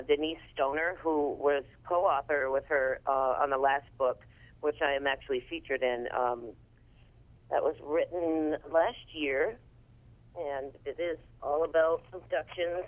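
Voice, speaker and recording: A woman's voice: low-pitched, fairly even intonation, unhurried. A woman speaking over a telephone line as an interview guest, talking casually and informatively about what she organizes.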